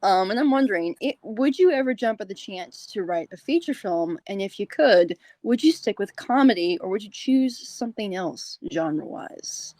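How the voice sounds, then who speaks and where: A woman's voice: mid-range voice, lively intonation, moderate pace, few pauses, a little mellow, no echo. A woman hosting a casual interview, informal and encouraging with her guest. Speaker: podcast host and interviewer.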